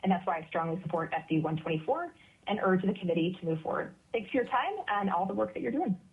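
A woman's voice: lowish voice, lively intonation, fast talker. A woman emergency physician giving earnest, emphatic formal testimony to a committee, speaking with occasional fillers. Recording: phone-line audio.

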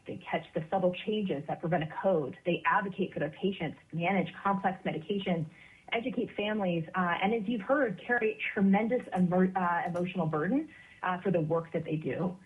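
Woman physician witness, earnest and emphatic, slightly low voice, phone-line audio. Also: fairly quick. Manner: earnest, emphatic, some fillers. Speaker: emergency physician testifying. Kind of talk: formal legislative testimony.

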